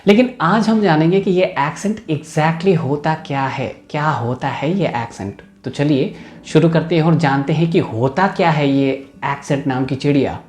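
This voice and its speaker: A man's voice: a little high, moderate pace, darkish tone, echoey room. Chatty Indian man teaching English. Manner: chatty, mixes English into the talk. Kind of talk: casual YouTube English lesson.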